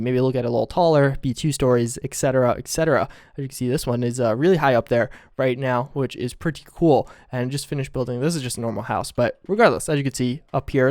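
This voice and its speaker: A man's voice: fairly high pitch, darkish tone, dry recording, studio quality. Young man losing his voice. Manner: rambling and explanatory, with fillers and restarts. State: losing his voice, slightly sick. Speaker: gaming video host. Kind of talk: casual game mod walkthrough.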